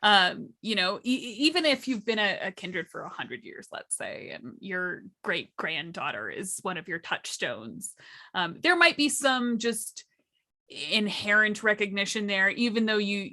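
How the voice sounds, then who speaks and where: Bright-voiced woman in casual discussion. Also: medium pitch, animated, normal speed. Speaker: panelist. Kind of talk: casual panel discussion of tabletop gaming.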